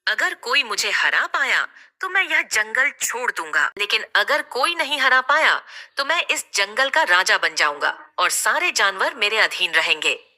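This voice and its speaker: A woman's voice: on the high side, very animated, unhurried, hardly any pauses, bright, forceful tone, heavily acted. A woman voicing a huge, cruel male lion in a children's story, speaking in pompous declarations. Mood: boastful, menacing.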